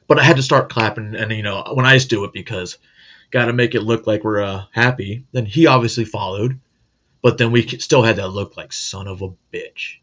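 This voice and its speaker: A man's voice: medium pitch, muffled recording. A middle-aged man hosting a casual monologue, blunt, profane and joking. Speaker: host.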